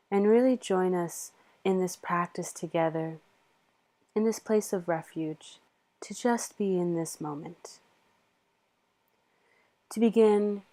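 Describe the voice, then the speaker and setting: A woman leading a guided meditation in a soothing, measured manner with long pauses. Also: on the low side, darkish tone.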